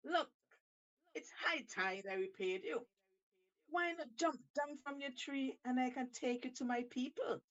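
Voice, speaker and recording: An eager male shark from a storybook, acted out by a woman, coaxes with emphatic persuasion. The voice is lifted high and swoops about in a slow, drawn-out delivery. The recording is a little dull. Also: a bit bright. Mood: eager, coaxing. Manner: coaxing, emphatic.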